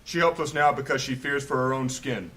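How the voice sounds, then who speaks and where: A distrustful male adventurer, as an actor plays him, firing off quick, accusing questions in a fantasy radio play. His voice sits at a middle pitch, and faint hiss lies behind it. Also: fairly bright tone, lightly acted.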